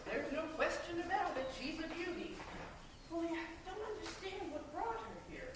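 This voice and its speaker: A woman acting in a stage play as a fretful, sharp-tongued older mother, sighing and scolding dramatically. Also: extremely high pitch, very animated, moderate pace, far from the mic. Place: theater stage at a college, filmed from the audience. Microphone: far camera. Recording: echoey, noisy stage recorded from afar.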